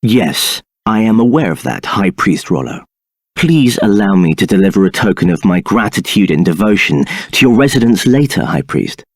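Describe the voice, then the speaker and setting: A man's voice: fairly low pitch, very animated, moderate pace, no room sound. An actor playing a scheming, arrogant male templar captain in a video game, speaking in a haughty, condescending manner. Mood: fawning.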